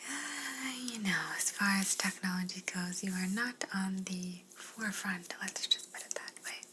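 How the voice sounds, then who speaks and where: A woman playing a curious, politely condescending alien examiner in a sci-fi ASMR roleplay, whispering softly and fussily, repeating words soothingly. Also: mid-range voice, slight background noise, low-quality recording. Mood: condescending, playful. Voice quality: soft, breathy whisper. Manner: slow, gently fussy, clinical, repeats words soothingly.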